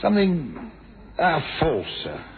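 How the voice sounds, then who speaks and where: An actor playing a respectful police sergeant in a radio drama, hesitant and suspicious. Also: male voice, a little high, huge pitch swings, takes it slowly, heavily acted. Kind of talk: 1970s radio drama acting. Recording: tinny, noisy old radio recording.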